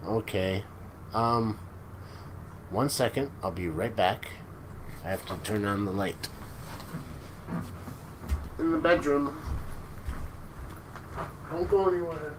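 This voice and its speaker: A man in his forties hosting a casual live stream, chatting with viewers in a relaxed, joking way. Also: fairly low pitch, slow, pauses often. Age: 40s. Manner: laid-back, joking, chuckles often. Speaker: livestream host. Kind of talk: casual live chat reading viewer comments. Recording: noticeable background noise.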